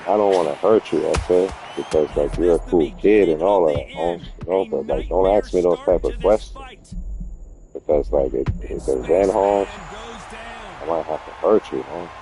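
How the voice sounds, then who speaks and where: A man voicing a laid-back streetwise homeboy who calls everyone Holmes and murmurs quiet threats in a low, slow voice. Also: low-quality recording.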